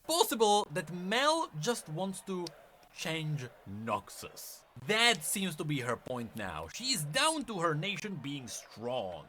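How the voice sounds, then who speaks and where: A man's voice: fairly high pitch, wildly swooping pitch, takes it slowly, bright, forceful tone. A man narrating an explanatory lore commentary video in an emphatic way, with some noise behind his voice.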